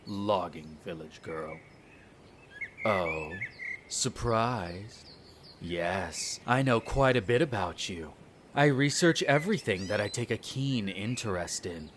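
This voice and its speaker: A man's voice: fairly low pitch, background noise. A man playing an irritable aristocratic vampire in a roleplay audio drama, speaking formally with sneering condescension toward humans. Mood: cold disdain. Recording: nature ambience with birdsong behind. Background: birdsong and garden ambience.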